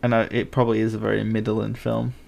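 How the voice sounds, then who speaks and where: A man's voice: lowish voice, monotone, fast, gravelly, a little mellow. A young man co-hosting a casual film podcast, laid-back with dry remarks. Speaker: podcast co-host.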